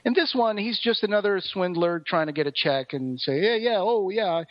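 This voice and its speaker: Roomy, slightly muffled higher-voiced male podcaster. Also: lively intonation, normal speed. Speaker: podcast co-host. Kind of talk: casual discussion and review.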